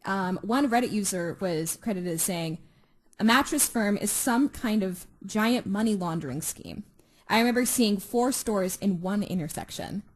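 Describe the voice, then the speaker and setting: A woman's voice: medium pitch, animated, normal speed, poor audio. A woman chatting casually as a podcast co-host, in an informal, slangy way with some swearing. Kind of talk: casual chat.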